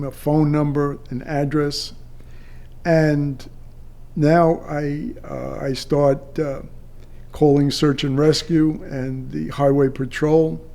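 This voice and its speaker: Slow-paced older man recounting a work memory. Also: a little high, mellow. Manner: halting, with drawn-out pauses. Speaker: retired air traffic controller. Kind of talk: unscripted personal storytelling.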